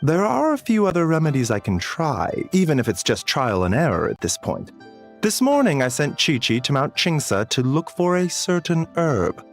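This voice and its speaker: A courteous, lilting game doctor. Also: male voice, on the high side, huge pitch swings, faint music behind. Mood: cautiously hopeful. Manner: polite, measured, formal. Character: gentle, courteous male doctor, composed and modest. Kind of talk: video game voice acting.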